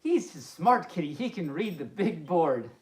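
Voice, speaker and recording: A woman's voice: lowish voice, sing-song, measured pace, darkish tone. A woman hosting a children's story time as a friendly pup, reading expressively and adding playful, amused asides. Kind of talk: expressive storybook read-aloud with chatty asides. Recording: roomy, echoing indoor sound.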